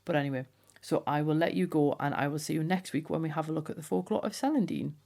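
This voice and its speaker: A woman hosting a folklore podcast, talking in a chatty, enthusiastic way, her voice slightly hoarse from a cold. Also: deep voice, fast talker, mellow. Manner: chatty, enthusiastic, laughs often. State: has a cold. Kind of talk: casual solo podcast chat.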